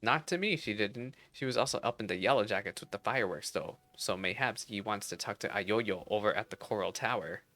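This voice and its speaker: A man's voice: lowish voice, brisk, hardly any pauses, sharp tone, no room sound. A man voicing a hearty, helpful festival worker from a fantasy game, with folksy turns of phrase.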